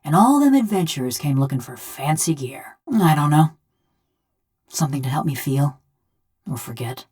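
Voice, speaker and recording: Casting: deep-voiced female killer in a metal body, video game. Wry, unrepentant, rustic backcountry talk, blunt delivery. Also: lively intonation, normal speed, long pauses, darkish tone, husky. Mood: plainspoken. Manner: folksy rustic drawl, blunt and sardonic. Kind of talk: video game character dialogue.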